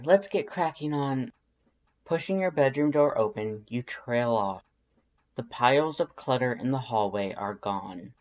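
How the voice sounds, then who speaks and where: A narrator reading fan fiction aloud in an expressive, scripted storytelling style. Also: medium pitch, muffled recording.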